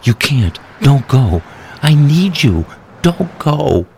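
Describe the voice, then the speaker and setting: A man's voice: medium pitch, big pitch swings, slow pace, creaky, a little mellow, a little hiss or hum, voice pushed up, full character voice. A man voicing a small, powerful vampire woman in an audio story, loving and anguished, with a tearful, pleading manner.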